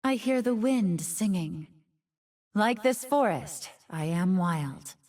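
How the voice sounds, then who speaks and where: Game casting: wild, wistful nine-tailed fox temptress, theatrical and predatory, lingering pace, slightly distant from the mic. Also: female voice, mid-range voice, lively intonation, takes it slowly, a little mellow. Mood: wistful, wondering. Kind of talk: video game character voice lines.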